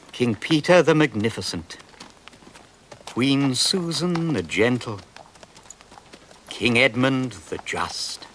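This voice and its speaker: An actor playing a fond storyteller in a radio drama, narrating in a stately, expressive way. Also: male voice, medium pitch, slight background noise.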